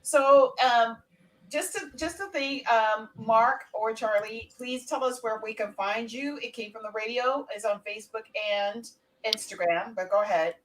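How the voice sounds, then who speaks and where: Woman hosting a show, higher voice, noisy line. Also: measured pace, fairly bright tone. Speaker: podcast host. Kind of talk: unscripted live show hosting.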